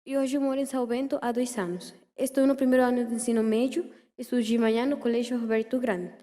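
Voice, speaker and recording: A teenage girl from Venezuela, a native Spanish speaker, gives a prepared testimonial. Her voice is high and soft-toned, and she reads carefully with small stumbles. The hall adds long reverb around her. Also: flat delivery, fast. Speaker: teenage apprentice receptionist. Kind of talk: prepared testimonial speech at a formal session. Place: large echoing meeting chamber. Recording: reverberant hall public address.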